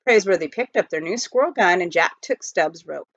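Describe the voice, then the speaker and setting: A woman reading a children's novel aloud in an expressive storytelling style. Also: lowish voice, fairly quick. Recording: dull lo-fi home recording.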